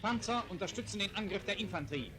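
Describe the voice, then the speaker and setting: High-pitched 1940s newsreel man. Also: lively intonation, fast talker. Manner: formal, declamatory announcer delivery. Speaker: newsreel narrator. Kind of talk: 1940s wartime newsreel narration, scripted. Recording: 1940s newsreel soundtrack, loud music behind. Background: loud music.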